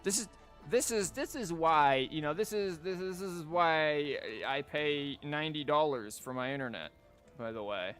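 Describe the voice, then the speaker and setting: A man's voice: on the high side, moderate pace, a bit bright. A man livestreaming a game, chatting casually in a rambling, wry and sarcastic way. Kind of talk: casual chat and commentary while streaming a game. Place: live stream from an indoor setup. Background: game music.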